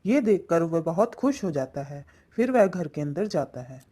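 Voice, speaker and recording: A woman's voice: fairly low pitch, sing-song, normal speed, warm tone, slightly rough. A woman reading scripted narration as the storyteller of a cartoon fable.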